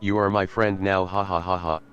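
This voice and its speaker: Flat synthetic male chat reader. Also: low voice, moderate pace, highs cut off, soft background music. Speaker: text-to-speech chat reader.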